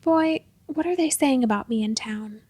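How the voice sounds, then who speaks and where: Woman reading as an earnest, high-voiced troubled man. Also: normal speed, mellow. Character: troubled, gentle, wistful man in a storybook.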